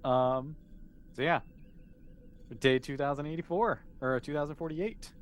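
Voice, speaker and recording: A man's voice: fairly high pitch, measured pace. A man, improvising casually and encouragingly as game master of a tabletop role-playing game.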